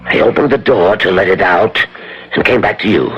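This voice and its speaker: An actor playing a stern, reproachful occult master in a formal, portentous style of seventies radio drama. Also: male voice, lowish voice, moderate pace, husky. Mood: grave, dramatic. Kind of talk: 1970s radio drama acting. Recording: muffled vintage radio recording.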